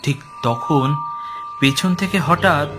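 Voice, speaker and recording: A man's voice: a little high, slow pace. A man narrating a scripted horror story as its storyteller. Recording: music behind the voice.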